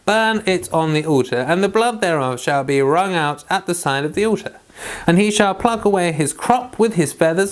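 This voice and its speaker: A man leading an informal Bible read-along, reading scripture aloud and giving chatty devotional commentary. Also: fairly high pitch.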